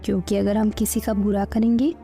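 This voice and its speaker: A woman's voice: medium pitch, level tone, fairly quick, gravelly, dark tone. A woman storyteller reading scripted narration for a children's story. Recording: music under the voice.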